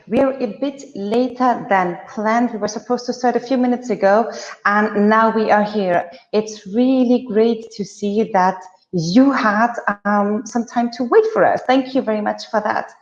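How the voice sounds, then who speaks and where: A woman's voice: fairly high pitch, a little slow. A woman from Austria hosting a live-stream discussion, welcoming guests in an upbeat way with occasional fillers. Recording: echoey, dull video-call audio.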